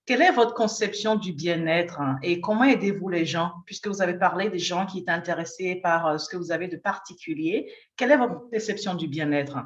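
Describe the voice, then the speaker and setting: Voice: medium pitch, fairly quick, few pauses, highs cut off. Distant host asking questions in a roomy space. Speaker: interviewer, host. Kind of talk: interview questions.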